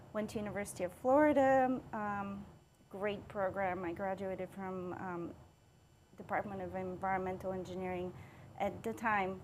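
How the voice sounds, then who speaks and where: A middle-aged woman from Bulgaria, a city engineering manager recounting her career in a podcast interview, upbeat with many "um" pauses. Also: medium pitch, a little hiss or hum. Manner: upbeat, with frequent "um" fillers.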